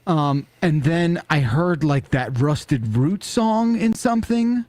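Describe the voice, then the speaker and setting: A man with a fairly high voice hosts a loose, chatty podcast. His pitch moves around a lot as he teases and swears casually. The sound is dry and close. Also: slow pace, no room sound. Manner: animated, swears casually, teasing. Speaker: podcast host.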